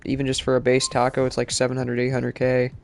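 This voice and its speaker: A man's voice: mid-range voice, completely flat delivery, fast talker, gravelly. A male streamer chatting casually while playing, sounding congested from allergies and rambling with lots of fillers. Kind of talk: live-stream gaming chat.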